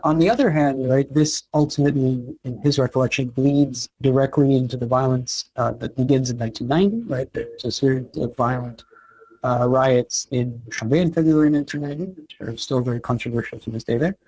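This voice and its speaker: A man answering interview questions about history, measured and explanatory, often checking in with "right?". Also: medium pitch, darkish tone, slightly rough, no echo, low-quality recording. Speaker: historian guest.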